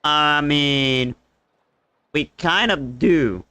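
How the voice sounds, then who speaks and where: Casting: male game streamer, casual and hesitant, bright clear voice, close dry mic. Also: a little high, very slow, no room sound. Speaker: livestreamer. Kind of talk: casual gameplay commentary.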